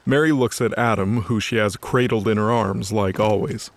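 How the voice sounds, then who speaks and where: A man's voice: on the low side, normal speed, dry recording. A man narrating a scripted story in a conversational, wry way.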